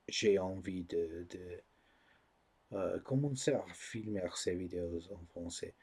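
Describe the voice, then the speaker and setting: Non-native male vlogger; very slow, halting delivery with constant fillers; low, mellow tone. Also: slightly rough. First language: not French. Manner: hesitant, many pauses and fillers; searching for words, learner of French. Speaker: channel host. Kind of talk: casual vlog talk to camera.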